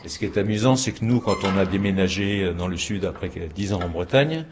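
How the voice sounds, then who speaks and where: Man, southern French accent, low voice, chatty and anecdotal, chuckling asides, music playing clearly underneath. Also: brisk. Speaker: interviewee. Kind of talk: casual reminiscing.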